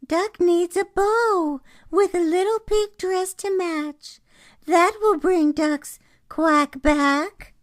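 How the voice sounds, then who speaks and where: A woman voicing an eager, girlish little kitten from a storybook in an excited way. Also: extremely high pitch, takes it slowly, dry recording, studio quality, pitched above the performer's own voice. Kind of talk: storybook character voice.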